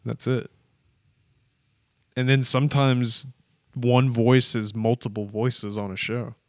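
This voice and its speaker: A man co-hosting a casual podcast, laid-back and quick to agree. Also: mid-range voice, little pitch movement, dry recording, studio quality. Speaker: podcast co-host. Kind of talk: casual chat.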